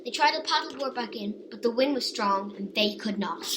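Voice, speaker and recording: A woman's voice: fairly high pitch, a little fast. A woman reading a scripted story as narrator. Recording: noisy amateur home recording.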